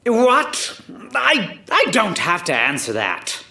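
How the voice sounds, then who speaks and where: An actor voicing a pompous, defensive fraud of a scientist in an animated audio drama, indignant and haughty, in a roomy echo. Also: medium pitch, slow, heavily acted.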